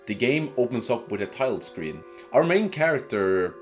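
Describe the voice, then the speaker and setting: A man's voice: mid-range voice, very animated, normal speed, soft background music. A man giving a casual, narrated video game review in informal, slangy language.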